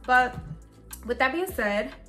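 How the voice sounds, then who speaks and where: A woman's voice: high voice, expressive, slow pace, fairly bright tone, soft background music. A woman about thirty talking casually to camera in her bedroom, upbeat and chatty with many fillers. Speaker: faith lifestyle vlogger. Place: bedroom at home, indoors.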